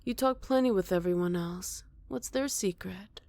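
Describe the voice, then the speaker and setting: A woman playing a smug, flirty captured villain in an ASMR roleplay, teasing and coy with breathy murmurs. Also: medium pitch, moderate pace, darkish tone, dry recording, close to an everyday voice. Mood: playful, coaxing.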